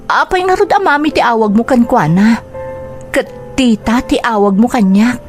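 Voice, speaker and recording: A woman's voice: a little high, animated, normal speed, soft background music, natural voice. A woman playing a hurt, confused mother in a melodramatic radio drama, with an Ilocano accent. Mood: hurt, bewildered. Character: hurt, confused young mother rejected by her own child.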